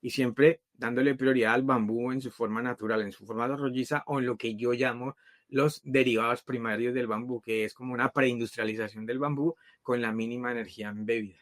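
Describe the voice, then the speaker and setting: A middle-aged man from Colombia speaks as an interview guest, at a middle pitch, with a dry, close sound. He tells his story at length, often pausing on fillers and restarting his phrases. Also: steady tone, fairly bright tone, no room sound. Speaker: guest, bamboo builder and founder. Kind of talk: casual interview talk.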